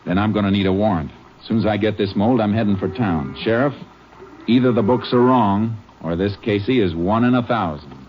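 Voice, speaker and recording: A man acting a calm, sharp-eyed Texas Ranger in an old radio western, speaking in terse, measured lines. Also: lowish voice, soft background music, lightly acted. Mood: deductive, assured. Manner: terse, measured, matter-of-fact. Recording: muffled, hissy old-time radio recording.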